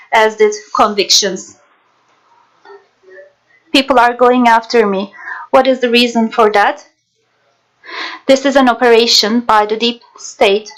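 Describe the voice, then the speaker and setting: A woman narrator and interpreter reading scripted documentary voice-over in a measured, formal way. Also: a little high, steady tone, low-quality recording.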